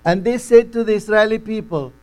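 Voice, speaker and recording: A man preaching a sermon, teaching slowly and deliberately and acting out quotes. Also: high-pitched.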